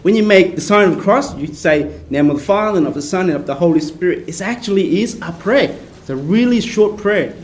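A soft-spoken middle-aged man from Vietnam leads a prayer workshop for a small group, talking casually from his notes. His voice sits a little high. A faint hiss of room noise runs under him. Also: expressive, brisk, few pauses. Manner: soft-spoken, relies on notes, chatty asides. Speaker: Capuchin priest leading a prayer workshop. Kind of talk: informal prayer workshop talk.

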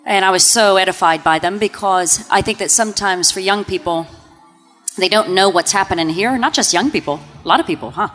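A woman's voice: medium pitch, fairly quick, a bit bright. Reflective woman in an echoing hall. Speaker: religious sister. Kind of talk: casual guest talk on stage. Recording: reverberant hall with faint music.

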